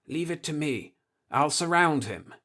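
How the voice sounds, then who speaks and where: Guy putting on a cocky veteran space officer for an audiobook, blunt, stubborn, voice pushed up high. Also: expressive, a little slow, sharp tone.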